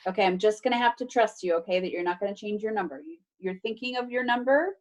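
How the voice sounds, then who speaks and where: A woman teacher reading a children's book aloud over a video call, expressive and instructive, with playful emphasis and casual asides to pupils. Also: medium pitch, fast talker. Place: remote video class.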